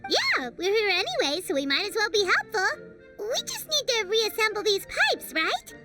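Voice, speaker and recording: A tiny floating girl companion, piping very high and bouncing all over the scale. She chatters in a wheedling, bubbly way and calls herself by name. Game music plays clearly under the dialogue. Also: wildly swooping pitch, moderate pace, exaggerated voice. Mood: breezy. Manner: wheedling, bubbly, speaks of herself in third person. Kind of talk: game cutscene dub.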